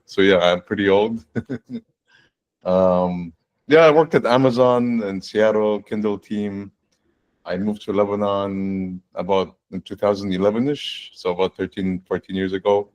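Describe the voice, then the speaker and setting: A man in his forties, a guest on a video-call fireside chat, explaining his work in a relaxed way with frequent restarts and "right?". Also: sits low, normal speed. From born in the United States, raised in Saudi Arabia and Canada. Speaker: guest, fractional CTO.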